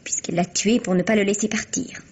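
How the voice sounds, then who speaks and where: Woman acting a proud young actress, husky voice. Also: medium pitch, steady tone, a little fast, natural voice. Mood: earnest, insistent. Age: young adult. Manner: theatrical, precise, insistent. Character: proud, assertive young actress, indignant and self-assured. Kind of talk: 1950s French radio mystery acting. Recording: dull, lo-fi vintage radio recording.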